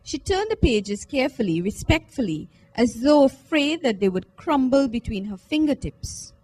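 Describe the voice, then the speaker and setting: A woman giving an expressive first-person literary reading, in a measured, deliberate, dramatic manner. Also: sits high, low-quality recording. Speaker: reader at a book launch. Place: live book launch event at a library.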